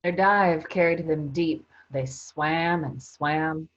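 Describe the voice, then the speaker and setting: A woman's voice: sits low, slow pace. A woman playing a theatrical chorus storyteller and magical guardian spirit in a staged play reading. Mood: hushed wonder. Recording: dull, lo-fi sound.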